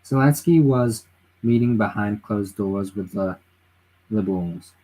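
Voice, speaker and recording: A man with a low, husky voice streams late at night from home and sounds tired. He talks through his views casually, restarting sentences often and filling gaps with uh and um. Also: a little slow, mellow, poor audio. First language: English. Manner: rambling, reads chat aloud, frequent restarts and fillers. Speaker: livestreamer. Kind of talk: casual political livestream chat.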